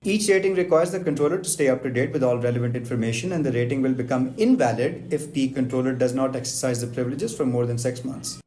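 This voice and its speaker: A man giving a scripted educational narration in a beat-the-clock format, reading technical lists in a brisk, even way. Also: mid-range voice. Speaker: aviation explainer host.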